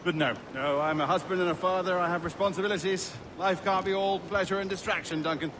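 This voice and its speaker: An actor playing a mild, polite gentleman merchant who speaks hesitantly, in a dramatic video game. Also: high voice, fairly even intonation, quick pace, background noise, lightly acted. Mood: wistful, hesitant. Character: mild, timid gentleman merchant, dutiful husband and father.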